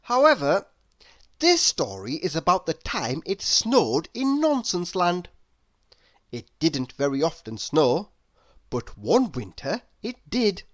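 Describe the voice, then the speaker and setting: A woman's voice: low-pitched, big pitch swings, slow pace. A woman reading a children's story aloud expressively, putting questions to the listener. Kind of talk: children's read-aloud narration.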